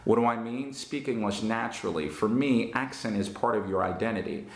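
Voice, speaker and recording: A man with a Northern New Jersey accent, giving an online English lesson in a slow, emphatic and encouraging way. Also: fairly low pitch, creaky, roomy sound. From United States. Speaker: English teacher.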